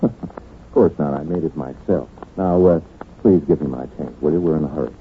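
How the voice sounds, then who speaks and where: An actor playing a self-assured, sardonic detective doctor in a 1940s radio drama. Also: male voice, extremely low pitch, moderate pace. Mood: wry. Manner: sardonic, teasing. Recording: tinny, hissy old radio broadcast.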